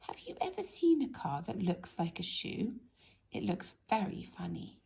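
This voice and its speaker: A woman reading a children's story aloud in an expressive, animated way, speaking directly to young listeners. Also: medium pitch, moderate pace, gravelly. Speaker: storybook reader.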